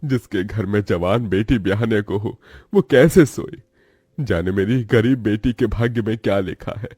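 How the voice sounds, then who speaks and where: A man's voice: on the low side, normal speed, darkish tone, slightly rough, no echo, studio quality. A man playing a poor old farmer in a cartoon moral story, a worried father who speaks in a humble, plaintive, lamenting way. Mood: anguished lament.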